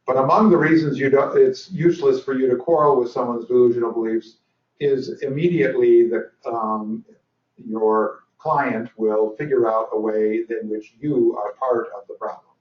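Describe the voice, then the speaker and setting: A man's voice: medium pitch, steady tone, moderate pace. Older man lecturing in an echoey hall. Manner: dry humor, frequent fillers and restarts. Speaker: lecturer at a legal training. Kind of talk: conference lecture. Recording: roomy, distant, dull lecture recording.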